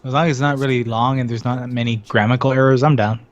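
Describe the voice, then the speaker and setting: A man's voice: medium pitch, fairly even intonation, fast. A man chatting casually and jokingly as guest co-commentator on a game stream. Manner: joking, giggly. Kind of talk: casual chat. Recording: muffled voice-chat audio, music behind. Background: game music.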